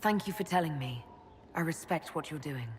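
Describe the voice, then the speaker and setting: A woman playing a composed, supportive leader in a video game, speaking in a calm, poised and direct way. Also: deep voice, moderate pace, slight background noise. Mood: concerned. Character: composed, supportive female leader, quietly playful. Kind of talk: video game cutscene acting.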